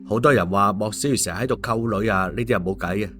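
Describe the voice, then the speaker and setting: Male audiobook host, Hong Kong Cantonese, lowish voice, colloquial and opinionated, music behind. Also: fast. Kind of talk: story narration and casual commentary.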